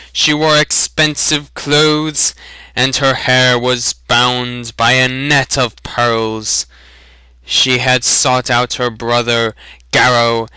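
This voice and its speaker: A man giving a deliberate, theatrical reading of a fantasy story as a narrator. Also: mid-range voice, bright, forceful tone, smooth, no echo, poor audio. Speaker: reader of a fantasy novel. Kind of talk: dramatic audiobook reading with joking asides.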